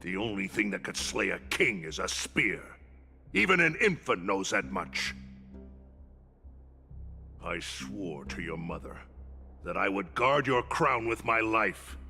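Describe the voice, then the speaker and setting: A proud, gruff older guardian warrior from a fantasy game. The voice is very low and gravelly, delivered slowly and solemnly with a forceful, scolding weight over background music. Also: male voice, big pitch swings, long reverb tail, exaggerated voice. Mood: stern, indignant. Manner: grandiose, scolding, solemn speech. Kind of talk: dramatic video game voice acting.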